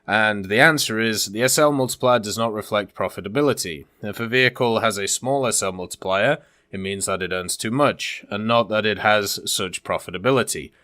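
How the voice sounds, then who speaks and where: A man's voice: lowish voice, fairly quick, bright, forceful tone, dry recording, clean studio sound. A man hosting a gaming video in casual commentary, reading out answers and explaining his take in a conversational way. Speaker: gaming content creator and host.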